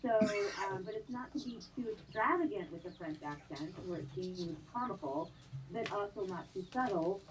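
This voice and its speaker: Chatty actress on a distant mic. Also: female voice, medium pitch, moderate pace. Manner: animated, chatty, laughs often. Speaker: guest actress. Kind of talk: live-stream interview chat. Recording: distant mic with faint noise.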